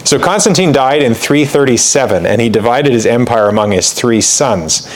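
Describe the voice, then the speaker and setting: A man's voice: medium pitch, animated, moderate pace, slight background noise, poor audio. A man teaching church history in an informal lecture, talking conversationally with fillers and asides, and reading quoted passages with more emphasis. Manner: reads quotations aloud with more emphasis.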